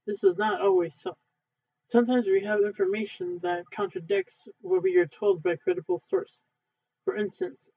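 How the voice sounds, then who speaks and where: A man with a high-pitched voice reads instructional textbook material aloud. He goes haltingly, a few words at a time, like an amateur practising. The recording is narrow and muffled, like a phone line. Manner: halting, word-by-word reading with stumbles. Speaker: amateur reader, channel owner. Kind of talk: reading a textbook aloud, unedited.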